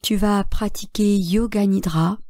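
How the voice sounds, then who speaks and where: Female meditation guide, soft-edged mellow tone, dry close sound, measured and calm delivery of short relaxation cues. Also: medium pitch, dry recording. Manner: calm, measured, instructing in short phrases with long pauses. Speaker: yoga nidra instructor.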